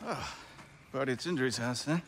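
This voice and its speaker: An actor playing a wounded, easygoing Vanir god with a teasing, laid-back manner in a video game cutscene. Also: male voice, medium pitch, animated, moderate pace, noisy background, lightly acted. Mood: wry teasing.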